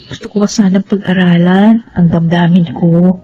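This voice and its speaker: A woman's voice: lowish voice, steady tone, normal speed, natural voice. An actress playing a sincere, cautious young woman in a radio drama. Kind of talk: Filipino radio drama acting and narration. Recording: slightly muffled, faintly noisy radio recording.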